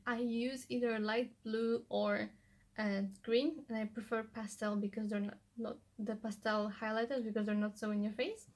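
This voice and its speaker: A young woman whose first language is Polish chats casually at home, with a slightly high voice. She rambles a little and restarts her sentences, padding them with "like" and "you know." She keeps an easy, informal vlogging tone. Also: level tone, normal speed, darkish tone. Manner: chatty, loose, restarts often with "like" and "you know". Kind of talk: casual how-to vlog.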